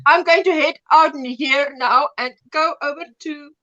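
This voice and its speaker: A woman hosting a casual livestream chat in an animated, exclamatory way over a video call. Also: sits high, moderate pace, dull audio, a little hiss or hum. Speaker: livestream host.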